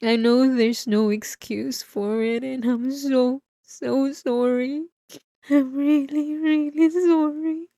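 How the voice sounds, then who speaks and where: Woman acting a high-pitched, tormented obsessive wife. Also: fairly even intonation, takes it slowly, warm tone, no echo, studio quality. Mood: remorseful, pleading. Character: obsessive, possessive wife, remorseful, delusional and tormented. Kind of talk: intimate ASMR audio roleplay monologue. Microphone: close microphone, dry.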